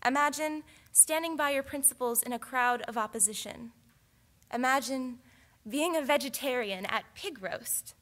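A woman's voice: high-pitched, sharp tone. A young woman, a student, giving an earnest, persuasive competition speech with rhetorical questions at a lectern microphone in a large theatre. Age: young adult. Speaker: student orator.

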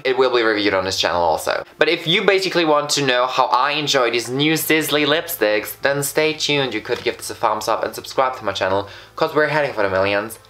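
A man's voice: medium pitch, fairly bright tone. Flamboyant young Austrian man gushing. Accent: Austrian German. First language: German. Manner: camp, flamboyant, gushing exclamations. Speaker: beauty vlogger. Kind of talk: makeup review vlog. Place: bright indoor room in front of a patterned backdrop.

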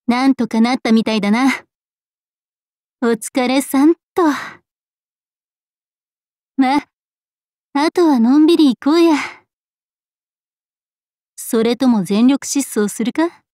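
A woman plays a scruffy, tomboyish gunner from a game. The voice is high and unhurried, with rough, offhand, boyish phrasing. It is recorded very dry. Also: dark tone, no room sound. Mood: laid-back, weary. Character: scruffy tomboyish gunner minding shop, blunt and laid-back. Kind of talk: Japanese game character voice acting.

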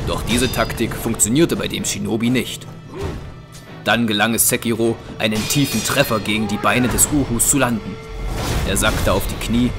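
A man giving scripted narration for a German audio drama. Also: lowish voice. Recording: clear music behind narration.

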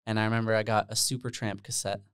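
A man's voice: lowish voice, flat delivery, quick pace, a bit bright, clear, resonant tone, no room sound, clean studio sound. A man in his twenties, born in the Netherlands, chatting casually as a guest musician, rambling with plenty of fillers. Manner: rambling, self-deprecating, many fillers. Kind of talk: casual interview chat. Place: small room with bare walls. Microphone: handheld dynamic mic, headphones on.

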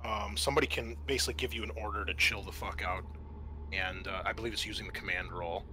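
A man's voice: fairly low pitch, fairly even intonation, quick pace, sharp tone. A man running a tabletop roleplaying game as game master, talking casually and swearing freely.

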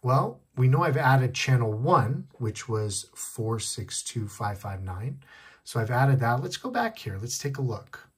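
Slightly husky man demonstrating gadgets. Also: medium pitch, big pitch swings, unhurried. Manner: step-by-step explaining, repeating key points. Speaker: tech tutorial host. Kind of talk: casual hands-on demonstration. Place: indoors at a wooden desk.

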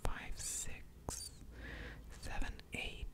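Female ASMR gamer: whispered, very leisurely game commentary, mulling over moves, audible mouth sounds. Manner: slow, musing aloud over each move.

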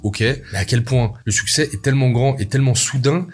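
A young man with a husky voice, narrating a playful rap commentary video from a small room at home. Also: medium pitch. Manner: playful, jokey asides, quick delivery. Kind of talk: scripted video essay narration.

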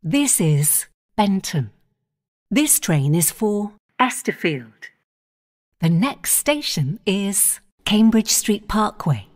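Woman, onboard train announcer; slow, even delivery with a lively rise and fall, station-by-station phrasing. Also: mid-range voice. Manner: measured, clipped station-by-station phrasing.

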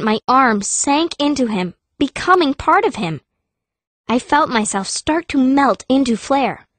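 High-voiced, cunning girl mage narrating. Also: dry recording. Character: fierce, cunning young girl mage telling her story. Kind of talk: first-person audiobook narration.

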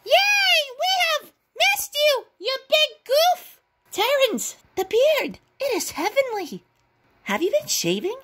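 A performer voicing a sweet, excitable little female cartoon bird who gushes, in a comic dub. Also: very high voice, measured pace, exaggerated voice. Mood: gushing. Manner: gushing, excitable.

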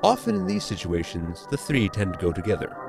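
An actor playing an erudite, pedantic crew member in a science fiction audio sitcom, speaking in a formal, lecturing way. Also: male voice, deep voice, huge pitch swings, fairly quick, creaky, music in the background, full character voice. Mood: dry moralizing.

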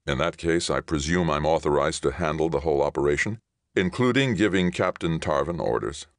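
A man voicing a calm, resolute veteran soldier in audiobook dialogue, measured and decisive. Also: extremely low pitch, no echo, studio quality. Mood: quiet, decisive.

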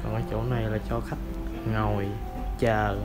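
A man in his thirties from Vietnam, giving a relaxed tour of his workplace and filling his talk with hesitant pauses. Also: on the low side, loud music behind the voice. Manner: relaxed, hesitant, many fillers. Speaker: vlogger. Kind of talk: casual vlog tour. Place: indoor back room at work, then walking outside and through a nail salon. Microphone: clip-on lapel mic.

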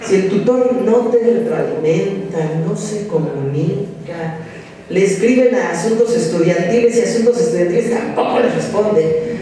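A man's voice: sits high, moderate pace, a crowd in the background. A man in his thirties with a Mexican accent, a psychology lecturer giving a direct, exhorting conference talk to students at a podium microphone. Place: large lecture hall. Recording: roomy echoing hall from a distance.

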